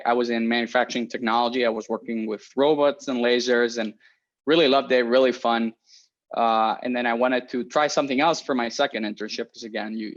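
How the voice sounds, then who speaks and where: A man's voice: mid-range voice, a bit bright. Young man with dull lo-fi sound. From Spain. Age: 20s. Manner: friendly, rambling with frequent restarts and fillers. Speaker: research engineer giving a career talk. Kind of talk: casual talk to students.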